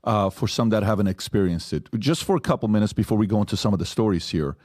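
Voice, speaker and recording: A man's voice: on the low side, quick pace, a little mellow, no echo. A man hosting an interview, asking long, rambling questions with restarts, on a close studio mic. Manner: rambling, restarts and fillers while framing questions. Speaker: podcast host.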